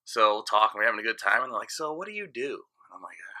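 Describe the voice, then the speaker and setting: A man's voice: fairly low pitch, big pitch swings, rapid-fire, pushed and bright. A man in his late thirties, a DJ guest in casual podcast chat, talking frankly and rambling, often trailing off and restarting. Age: 30s.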